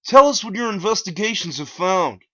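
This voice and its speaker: A man's voice: high-pitched, sing-song, moderate pace, sharp tone, highs cut off, voice pushed up, full character voice. A man voicing an urgent, dramatic male TV news reporter in a story reading.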